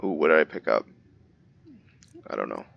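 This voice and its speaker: A man's voice: very deep voice, sing-song, moderate pace, gravelly, highs cut off, a little hiss or hum. A man giving casual let's-play commentary, thinking aloud and narrating his own moves as "we". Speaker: gameplay video creator.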